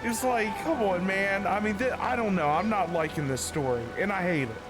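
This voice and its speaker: A man's voice: high-pitched, normal speed. A man chatting casually on a game livestream, rambling and repeating himself as he talks to his viewers. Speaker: game streamer. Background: faint game music.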